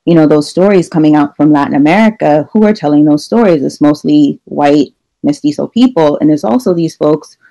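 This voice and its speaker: Gravelly, mellow woman panelist. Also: low-pitched, a little fast, low-quality recording. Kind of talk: unscripted panel discussion. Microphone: video call.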